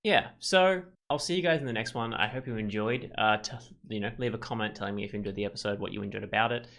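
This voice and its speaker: A man's voice: lowish voice, fast, vocal fry, fairly bright tone. A man giving casual let's play commentary, thinking aloud in a rambling way.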